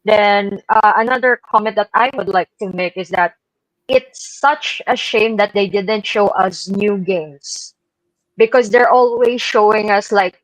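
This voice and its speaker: Woman, mid-pitched, informal and opinionated gaming show panelist, dry recording. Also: measured pace, dry recording, poor audio. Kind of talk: casual panel commentary.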